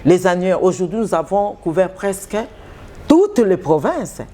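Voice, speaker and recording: A middle-aged woman, a senior civil-service head answering interview questions in a measured, official way with hesitant fillers. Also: fairly low pitch, big pitch swings, dark tone. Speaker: secretary general of the public service.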